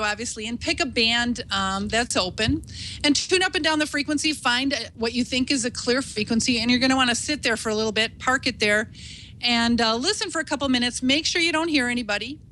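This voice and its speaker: A woman's voice: fairly high pitch, pushed and bright, a little hiss or hum. A woman giving an informal instructional talk in an encouraging, reassuring, conversational way, like a teacher walking beginners through the steps. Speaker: instructor, ham radio operator.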